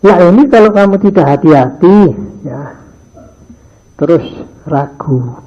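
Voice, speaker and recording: A man's voice: fairly high pitch, lively intonation, a little slow, mellow. An older man teaching boarding school students in a morning religious lesson, slipping phrases into his talk and acting out little dialogues. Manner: mixes in Javanese phrases, acts out dialogues in his stories. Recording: dull lo-fi archive recording.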